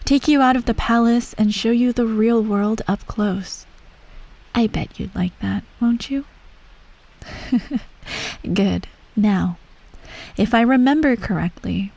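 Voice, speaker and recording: Soft, slow-spoken evil empress played by a woman. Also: medium pitch, vocal fry, low-quality recording. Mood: tender, reassuring, then fond, composed. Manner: soft, measured, formal, gently condescending. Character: imperious, self-righteous evil empress, doting on her child. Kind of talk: ASMR roleplay, bedtime storytelling. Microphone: close, dry microphone.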